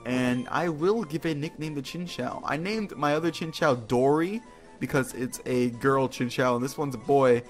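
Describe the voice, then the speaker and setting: A man's voice: mid-range voice, normal speed, faint music behind. A man hosting a video game playthrough with casual, rambling, playful commentary, slangy and often repeating his phrases.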